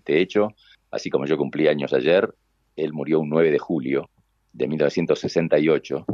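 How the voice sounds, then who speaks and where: An older man from Argentina, a radio announcer with a deep booming voice and an Argentine Spanish accent, telling stories from his life in a relaxed conversation. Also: a little fast, dry recording, highs cut off. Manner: warm reminiscing, acts out quoted voices. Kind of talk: conversational storytelling.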